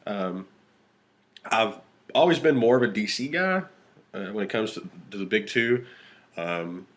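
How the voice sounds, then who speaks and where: A man's voice: fairly low pitch, sing-song, unhurried. A young man co-hosting a podcast, chatting casually, rambling and trailing off mid-thought. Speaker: podcast co-host. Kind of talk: casual chat.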